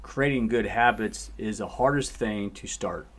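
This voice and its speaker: A man's voice: fairly low pitch, measured pace, fairly bright tone. A man who teaches martial arts, chatting on a podcast and coaching parents with questions. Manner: coaching, asks questions to make a point. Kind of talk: casual podcast chat.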